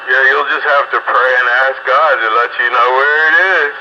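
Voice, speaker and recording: A man's voice: mid-range voice, fairly even intonation, moderate pace. Folksy man calling over muffled, noisy radio. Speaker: caller on a CB radio roundup. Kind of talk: casual CB radio chat.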